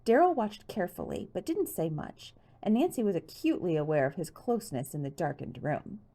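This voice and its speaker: A woman narrates a teen mystery audiobook in a fairly low, mellow voice. Her reading is lively, with plenty of rise and fall. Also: fairly quick. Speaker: audiobook reader. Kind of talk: scripted storybook narration, teen mystery novel.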